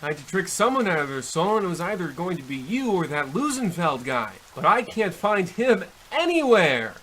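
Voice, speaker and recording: A man's voice: high voice, big pitch swings, normal speed, a bit bright, a little hiss or hum, rough recording. A man playing a bumbling trickster devil in a comedic radio play, theatrical and flustered as he haggles over souls. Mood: flustered, hinting. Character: bumbling, nagged trickster devil striking soul deals. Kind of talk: comedic old-time radio play acting.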